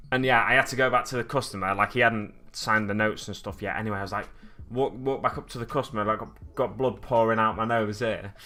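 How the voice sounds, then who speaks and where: Chatty man, low bright voice. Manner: rambling storyteller, chatty with viewers. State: slightly scratchy voice. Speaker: streamer host. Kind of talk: casual livestream chat while painting miniatures. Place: home hobby desk with a glass cabinet behind.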